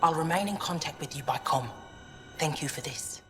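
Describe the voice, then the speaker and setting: An actress playing an earnest, resolute alien scholar in a video game, with a formal, deliberate delivery. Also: female voice, low voice, a bit bright. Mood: earnest, concerned. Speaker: archaeoastronomer. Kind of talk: video game dramatic acting. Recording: long reverb, clear music behind voice.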